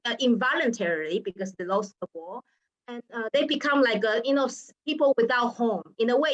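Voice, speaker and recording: Halting woman on a call. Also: fairly high pitch, muffled recording. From Taiwan. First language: Taiwanese Hokkien. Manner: thoughtful, halting, with frequent restarts. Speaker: researcher on a panel. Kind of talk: personal reflection in an online roundtable. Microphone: video call.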